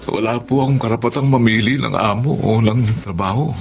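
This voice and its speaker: An actor playing a humble, hardworking family driver who speaks politely and stammers with deference. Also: male voice, mid-range voice, a little fast, creaky, close to an everyday voice.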